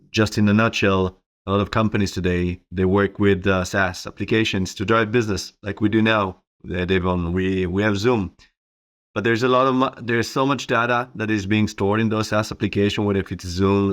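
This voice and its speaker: A middle-aged man with an Israeli accent giving informal, conversational answers as an interview guest. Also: deep voice. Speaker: interview guest, company co-founder.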